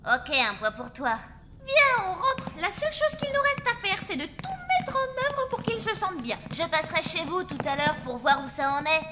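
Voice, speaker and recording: An actress playing an upbeat, considerate young zombie girl in a French children's cartoon, animated and earnest. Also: very high-pitched, normal speed, noisy background. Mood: earnest, hopeful.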